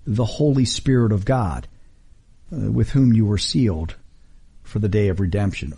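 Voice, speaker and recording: A middle-aged man preaching a radio sermon, emphatic and full of rhetorical questions; English is not his first language. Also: breathy edge. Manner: emphatic, rhetorical questions, lively swings in pitch.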